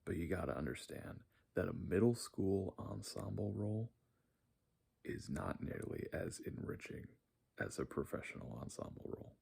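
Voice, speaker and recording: Extremely deep, gravelly, breathy young man. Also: lively intonation, normal speed, mellow. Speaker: ASMR creator. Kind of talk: soft ASMR spoken aside.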